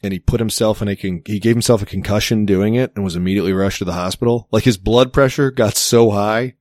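Low-voiced man telling a rambling story. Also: quick pace, darkish tone, dry recording, clean studio sound. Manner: rambling storytelling with frequent restarts and swearing. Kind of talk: casual chat.

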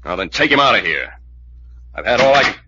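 An actor playing a gruff, bossy, suspicious bedridden tough man in 1940s radio drama, American accent, terse and slangy. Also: on the low side, very animated, brisk, sharp tone, heavily acted. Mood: angry, bossy. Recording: dull old radio recording.

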